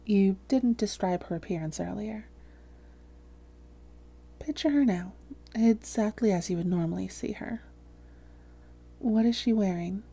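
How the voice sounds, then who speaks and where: Woman playing a mellow, soothing vampire therapist. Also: medium pitch. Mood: soothing, hypnotic. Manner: measured, gentle, reassuring, breathy hushed phrases. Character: calm, soothing female vampire posing as a therapist. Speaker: voice actress in roleplay audio. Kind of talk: soft-spoken roleplay audio, therapist addressing listener.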